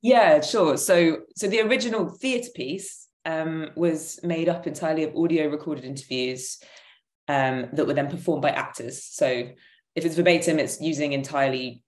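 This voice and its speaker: Low-voiced woman in an echoey room. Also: normal speed, darkish tone. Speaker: panel guest, theatre maker. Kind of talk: conversational explanation of own work. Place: room at home. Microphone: computer mic on a video call. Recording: echoey room on video call.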